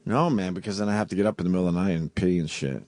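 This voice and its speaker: A man hosting morning talk radio, crude and joking, laughing often, with a voice he says reflux has deepened. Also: very fast, a little mellow, dry recording. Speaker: radio show host. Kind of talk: casual morning radio banter. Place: radio studio.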